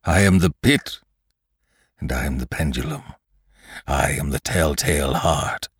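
A man's voice: very deep voice, a little slow, breathy edge, no room sound, studio quality, exaggerated voice. A man voicing a delirious dying man who raves and cries out as he is carried to the flames. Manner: raving, rising to a wild scream. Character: dying man raving in a crematorium.

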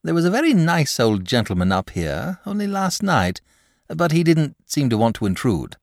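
A man's voice: a little high, very animated, moderate pace, creaky, no room sound, studio quality, voice pushed up. A man voicing a huge, mild, lazy dragon in a storybook, with a genteel, chatty and sociable manner. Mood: eager, effusive. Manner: genteel, chatty, bashful, eager to please.

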